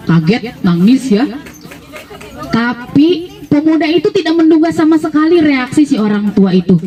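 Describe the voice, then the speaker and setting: A woman telling a religious story live, expressive and exclamatory, speaking directly to her listeners. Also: high-pitched. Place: evacuation post, live storytelling. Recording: dull, distant microphone pickup.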